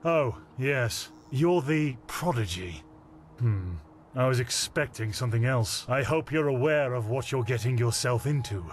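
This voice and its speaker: Actor as slow-spoken stern veteran warrior mentor. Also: male voice, medium pitch, expressive, a little hiss or hum, natural voice. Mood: gruff, skeptical. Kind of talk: fantasy video game voice acting. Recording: game music behind the voice.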